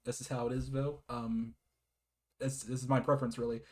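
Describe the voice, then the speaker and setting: A man's voice: medium pitch, flat delivery, fairly quick. A man giving a casual, rambling game review in a hesitant, apologetic manner with frequent giggles.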